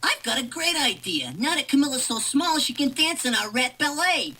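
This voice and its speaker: A woman's voice: sits high, very animated, moderate pace, bright, forceful tone, a little hiss or hum, voice pushed up, full character voice. A woman voicing a chummy, scheming male rat in a storybook read-along. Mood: excited.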